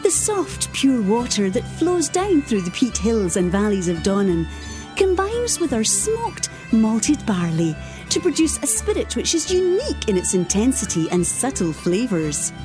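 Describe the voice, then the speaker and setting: Lively, high-voiced distillery presenter. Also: female voice, measured pace, music in the background. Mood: polished, upbeat. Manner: polished, promotional. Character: polished distillery presenter giving a promotional talk. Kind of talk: scripted promotional presentation.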